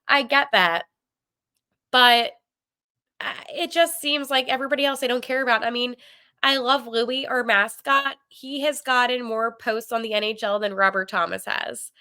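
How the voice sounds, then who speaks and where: A woman's voice: on the high side, fairly even intonation, moderate pace, sharp tone, clear, resonant tone. Congested nasal woman hosting a sports podcast. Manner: chatty, opinionated, with frequent ums and likes. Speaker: podcast host.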